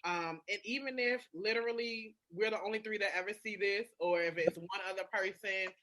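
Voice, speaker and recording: A woman's voice: medium pitch, brisk, a bit bright. A woman chatting casually and candidly, emphatic and swearing freely, often repeating phrases for emphasis. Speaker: podcast co-host. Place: phone call.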